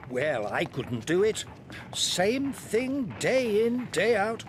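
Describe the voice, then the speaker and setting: An actor playing a wry, easygoing friend in a radio drama, teasing and offhand. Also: male voice, high-pitched, sing-song, slow, a little hiss or hum, exaggerated voice. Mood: wry, affable.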